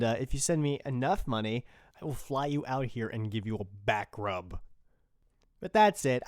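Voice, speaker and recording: Male podcast host, medium pitch, crisp clear tone, dry studio-tight sound, loose and informal unscripted delivery. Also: darkish tone, dry recording. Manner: informal, rambling, mildly profane. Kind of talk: casual unscripted podcast talk.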